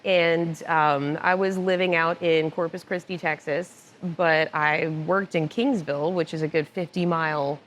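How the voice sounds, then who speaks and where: A woman with a somewhat low voice tells stories in a relaxed interview, laughing easily between lines. Faint room ambience sits behind her. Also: measured pace, few pauses, a little hiss or hum. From born in Italy, raised moving around. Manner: laughs often, animated anecdotes. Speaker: podcast guest, motorcycle finance director. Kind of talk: casual storytelling interview. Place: cigar club lounge.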